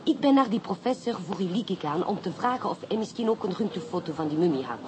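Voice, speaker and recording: An actress playing an elegant, playful and clever inspector's wife in a radio drama, speaking coquettishly with a French accent and French phrases. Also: female voice, fairly low pitch, animated, fast talker, close to an everyday voice. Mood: triumphant. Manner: coquettish, theatrical, peppering talk with French phrases. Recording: clearly noisy radio play recording.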